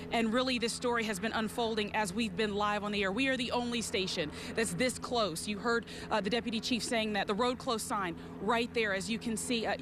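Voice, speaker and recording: High-pitched woman reporting live from outside. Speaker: live field news reporter. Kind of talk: live breaking news reporting. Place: outdoors on a residential street. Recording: clear outdoor street and vehicle noise. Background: helicopters and vehicles.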